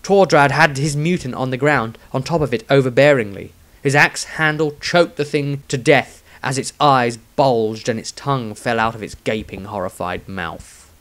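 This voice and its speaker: A man's voice: medium pitch, moderate pace, fairly bright tone. A man reading scripted fantasy narration as a storyteller, with a theatrical, emphatic delivery. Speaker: author-narrator.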